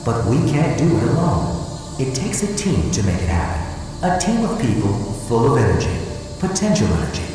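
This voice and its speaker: An actress playing a polished, upbeat corporate propaganda announcer in a video game, over a public address system. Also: female voice, rumbling low, sing-song, moderate pace, background noise. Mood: cheerfully promotional. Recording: echoey distant hall announcement, music behind.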